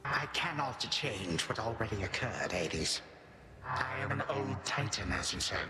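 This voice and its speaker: An actor playing an ancient, weary, chastened Titan grandfather in a video game cutscene, speaking in a grave, measured way. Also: medium pitch, lively intonation, in a large hall, heavy background noise, lightly acted. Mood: weary concession. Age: older.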